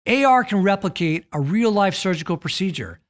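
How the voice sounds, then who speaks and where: American man, animated keynote delivery. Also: high voice, brisk. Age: middle-aged. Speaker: telecom executive giving a keynote. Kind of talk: scripted keynote presentation. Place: indoor studio with plain backdrop.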